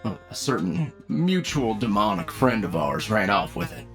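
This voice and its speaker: A man's voice: on the low side, very animated, normal speed. A man playing a wry, talkative bounty hunter team leader in an anime-style audio roleplay, with a casual, folksy manner. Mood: explaining. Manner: casual, folksy, hesitant asides. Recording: music behind the voice.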